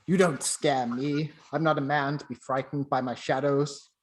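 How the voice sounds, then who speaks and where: A man's voice: medium pitch, fairly even intonation, moderate pace, close to an everyday voice. A man playing a stubborn, haunted old miser in a radio play, theatrical and exclaiming. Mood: defiant. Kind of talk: amateur radio play acting.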